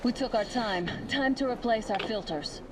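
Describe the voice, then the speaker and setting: A high-pitched young woman soldier voiced by an actress, blunt and full of feeling. She is a tough, impatient wife in a dramatic video game, with loud noise behind her. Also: moderate pace, close to an everyday voice. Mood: weary, exasperated. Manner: blunt, emotive.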